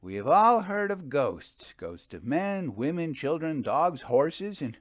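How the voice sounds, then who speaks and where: A hearty young gentleman from a storybook, acted out by a man, teasing and skeptical. His voice sits higher and swings about as he banters and exclaims, in a close, dry recording. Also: big pitch swings, takes it slowly, dry recording. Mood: amused skepticism. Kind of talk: storybook character dialogue.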